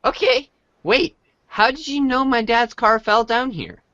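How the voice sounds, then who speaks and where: A performer voicing an excitable, suspicious teenage boy with theatrical, teasing sarcasm in an amateur webcomic reading. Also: medium pitch, measured pace, no room sound, lo-fi sound. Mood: incredulous.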